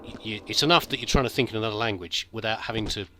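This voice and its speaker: A middle-aged British man with a soft accent, telling a rambling, self-deprecating story over gameplay, full of stops and restarts. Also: lowish voice, very fast, a little hiss or hum. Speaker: gameplay commentator.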